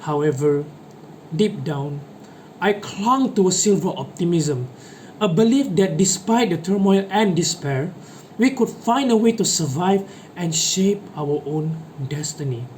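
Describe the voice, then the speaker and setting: A man's voice: high voice, animated, a little slow, a little mellow. A man performing a dramatic monologue as a guilt-ridden schoolboy defending his betrayal of friends, in an earnest, emphatic, formal delivery. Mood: regretful. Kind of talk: dramatic monologue, reader's theatre. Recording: noticeable background noise.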